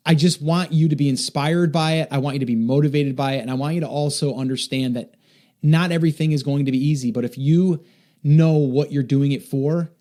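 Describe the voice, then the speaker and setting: A man's voice: mid-range voice, mellow. A man hosting a solo podcast, talking earnestly and encouragingly to listeners, often repeating himself for emphasis. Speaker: podcast host.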